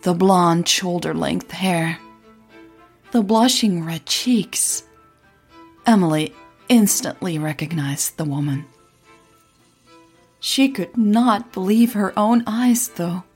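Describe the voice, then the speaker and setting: A woman narrating scripted audio fiction as a podcast storyteller, in a measured, dramatic way. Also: on the low side, slightly rough, faint music behind.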